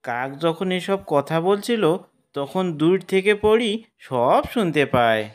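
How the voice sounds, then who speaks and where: A narrator reading a scripted children's cartoon story in a deliberate storytelling manner. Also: mid-range voice, lively intonation.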